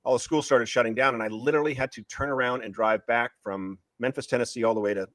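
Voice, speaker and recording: A man speaking as an author guest in an interview, pitching his books in an emphatic, opinionated way and repeating phrases for stress. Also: steady tone, quick pace, fairly bright tone, no room sound. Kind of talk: passionate conversational pitch of a book series.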